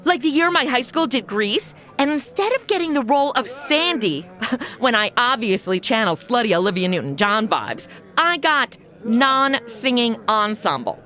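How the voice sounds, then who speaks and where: Sassy, bright, high-voiced modern gal. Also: female voice, sing-song, moderate pace. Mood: self-mocking. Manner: sarcastic, self-dramatizing, slangy. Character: sassy, brash modern young woman thrown into medieval adventure. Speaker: lead of the comedy podcast. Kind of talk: comedic audio drama acting.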